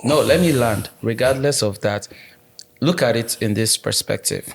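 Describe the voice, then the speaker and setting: Nigerian male co-host, firm and opinionated, mid-pitched voice. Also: moderate pace, gravelly, slightly rough. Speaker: podcast co-host. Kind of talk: casual discussion.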